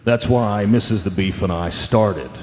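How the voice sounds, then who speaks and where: An actor playing a big, dim, hungry middle-aged ex-football player on a radio show, rambling along with earnest hesitation. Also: male voice, fairly low pitch, unhurried, a little mellow, close to an everyday voice. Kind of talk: comedic radio drama guest interview. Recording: dull audio in a reverberant hall.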